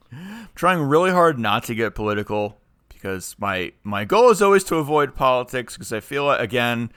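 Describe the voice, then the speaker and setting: A man's voice: mid-range voice, big pitch swings, moderate pace, a bit bright, clear, resonant tone, no room sound, clean studio sound. A man co-hosting a podcast in casual, opinionated chat, speaking emphatically and argumentatively and often digressing. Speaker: podcast co-host.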